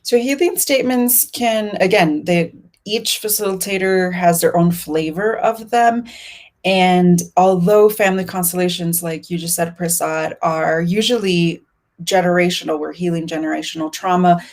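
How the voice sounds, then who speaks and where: A woman's voice: on the low side, moderate pace, darkish tone. A woman whose first language is Spanish, a healing facilitator speaking in thoughtful, searching phrases with restarts. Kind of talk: reflective conversation. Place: Mexico.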